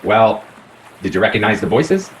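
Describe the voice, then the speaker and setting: A courteous detective played by a man in a radio drama, always probing with theatrical care. His pitch swings widely, in an echoey room with faint noise. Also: very animated, normal speed. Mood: inquisitive. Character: probing, courteous male detective aboard a boat. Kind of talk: radio play acting.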